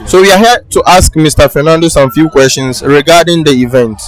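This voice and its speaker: A man's voice: fairly high pitch, fairly quick, rough recording. A man asking polite, slightly hesitant interview questions outdoors at a funeral. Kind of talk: casual street interview. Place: outdoors at a crowded palace funeral.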